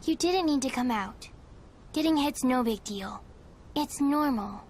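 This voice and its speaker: A woman's voice: sits high, lively intonation, a little slow, slight background noise. A woman playing a small, blunt, guarded young girl in a video game cutscene, speaking tersely and aloofly. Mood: resigned, guarded.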